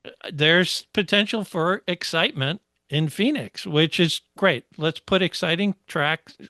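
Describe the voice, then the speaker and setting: Male podcast co-host, slightly high voice, slow and measured delivery, dry close mic. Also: bright, forceful tone, no echo. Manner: measured, explains racing history with many fillers. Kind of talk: casual racing chat.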